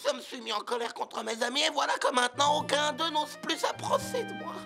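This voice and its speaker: A small, hot-tempered vehicle from a children's cartoon. The voice is very high and creaky, full of exclamations and pleas. Loud music runs behind it. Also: lively intonation, brisk. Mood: regretful. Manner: exclamatory, pleading. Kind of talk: French children's cartoon dubbing.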